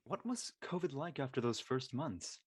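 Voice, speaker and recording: A blunt, teasing young guy acted by a man talks casually in an audio drama. The voice is husky and swings widely in pitch. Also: lively intonation, moderate pace. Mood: blunt, friendly. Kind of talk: casual scripted dialogue.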